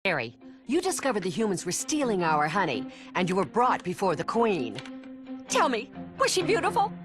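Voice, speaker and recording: A woman playing an eager, fawning, self-assured bee interviewer in an animated video game. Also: mid-range voice, moderate pace, over loud music. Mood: eager, gushing.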